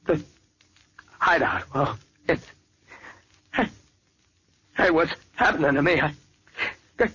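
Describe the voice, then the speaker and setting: Field: an actor as a dazed, breathy reanimated thug. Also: male voice, fairly high pitch, expressive, sharp tone, exaggerated voice. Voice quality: breathy, halting, confused. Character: dazed revived gangster losing his mind. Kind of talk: 1940s radio acting.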